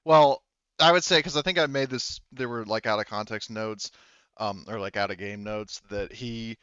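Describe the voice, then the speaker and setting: A man's voice: lowish voice, moderate pace, fairly bright tone, smooth, dry recording, muffled recording. A man acting as game master, chatting casually through a tabletop game in an informal, self-correcting way.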